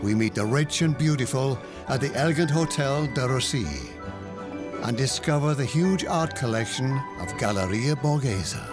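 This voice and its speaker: A man narrating a travel documentary in a calm, measured scripted voice-over. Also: mid-range voice. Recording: voice-over with music behind. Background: music.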